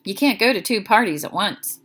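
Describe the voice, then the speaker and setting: A woman voicing a calm, thoughtful father who advises his young son in a children's storybook. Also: medium pitch, a little fast.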